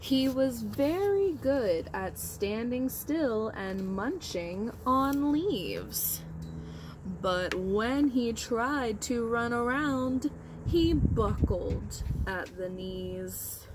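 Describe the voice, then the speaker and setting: A woman's voice: fairly high pitch, lively intonation, very slow, noisy background. A woman teacher leading storytime for young children, explaining things simply and prompting them with questions. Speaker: early-grade teacher.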